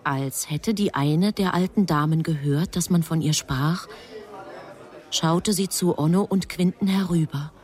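A woman's voice: sits low, measured pace, a little mellow. A woman performing as the grave, composed narrator of a literary radio drama. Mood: grave, tense. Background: music.

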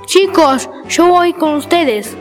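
Radio play kid female performer: high voice, cheeky, boastful, light music bed. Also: animated, slow, full character voice. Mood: eager. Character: mischievous schoolchild, boastful but easily scared.

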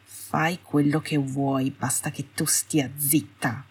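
A woman's voice: sits low, little pitch movement, takes it slowly, husky, exaggerated voice. A woman voicing a cold, bored, annoyed young wizard husband in an audiobook, speaking curtly. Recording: roomy, slightly distant home recording.

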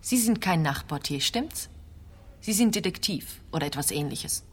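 A woman's voice: lowish voice, animated, moderate pace, natural voice. A woman playing an anxious, stern clinic nurse in a radio play, matter-of-fact and admonishing. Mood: uneasy.

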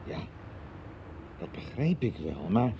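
Hesitant low-voiced man, swinging intonation. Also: big pitch swings, slow, warm tone, a little hiss or hum, full character voice. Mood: hesitant, irritated. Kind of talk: 1990s Dutch radio soap acting.

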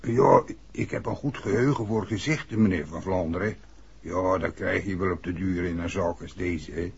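Husky, chatty village innkeeper. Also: male voice, deep voice, moderate pace, a little mellow, exaggerated voice. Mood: confiding, chatty. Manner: colloquial, folksy, peppers speech with tag questions. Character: chatty, gossipy village innkeeper, plain-spoken older man. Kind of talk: 1960s radio drama acting. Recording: muffled old radio recording.

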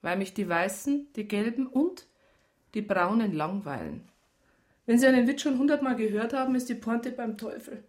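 A woman's voice: on the high side, normal speed, darkish tone, natural voice. Dry, teasing worldly woman played by an actress. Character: worldly, disillusioned, flirtatious Bavarian woman, bluntly independent. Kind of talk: naturalistic radio drama acting.

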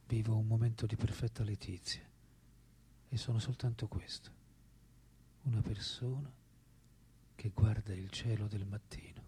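An actor playing an elderly French philosopher in a radio drama, reflective and unrepentant, speaking in a measured way that often drops toward a breathy whisper. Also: male voice, on the low side, warm tone, slight background noise, close to an everyday voice.

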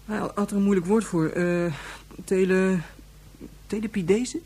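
A performer in a radio play playing an earnest, eager young boy, excitable and naive, who stumbles over difficult words. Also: medium pitch, unhurried, close to an everyday voice. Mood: eager, insistent. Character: earnest, eager young boy defending his scientist father.

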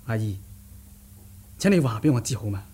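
A man's voice: mid-range voice, big pitch swings, moderate pace, mellow, breathy edge. A male actor playing a determined, sardonic young martial-arts nephew in a radio drama, theatrical, scoffing and insistent. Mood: earnest, pressing. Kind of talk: Cantonese radio drama acting.

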